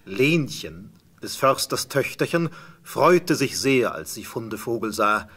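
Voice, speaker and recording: A man narrates a fairy tale in scripted storytelling style. His voice is husky and medium in pitch, with lively, wide intonation. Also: measured pace.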